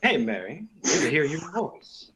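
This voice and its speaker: A man's voice: a little high, big pitch swings, normal speed, a bit bright. An actor playing a breezy, hearty young businessman phoning long distance in an old-fashioned radio play.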